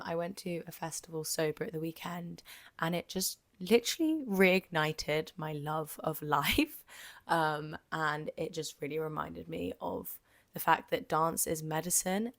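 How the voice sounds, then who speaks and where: Young woman, low voice, slightly croaky, from Jersey, chatty podcast host, candid and casual, dry close sound. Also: no room sound. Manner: candid and chatty, with lots of ums, likes and swearing. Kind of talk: casual solo podcast monologue.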